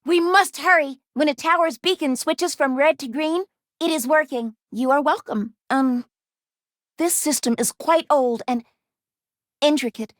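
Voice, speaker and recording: A woman's voice: sits high, measured pace, no echo, clean studio sound. A woman voicing an earnest, anxious, polite young female robot companion in a video game, speaking precisely and formally without contractions. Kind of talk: video game character voice lines.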